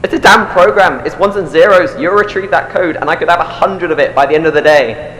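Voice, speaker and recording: A man's voice: on the high side, a little fast, a bit bright, slight background noise, natural voice. An actor playing an irritable, dismissive male tech worker in an audio drama, blunt and colloquial. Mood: exasperated. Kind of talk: naturalistic audio drama acting. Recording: echoey, long reverb.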